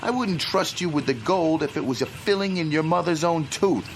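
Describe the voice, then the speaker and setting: An actor playing a suspicious, tough crook in a radio drama, with a blunt, folksy, sarcastic delivery. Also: male voice, on the high side, normal speed, a little hiss or hum, natural voice. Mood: sarcastic, distrustful.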